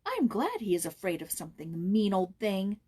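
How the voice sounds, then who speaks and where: A woman voicing a spirited, motherly girl in a storybook, earnest and emphatic, quick to indignation on a friend's behalf. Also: mid-range voice, sing-song, dark tone, breathy edge. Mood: indignant, bold.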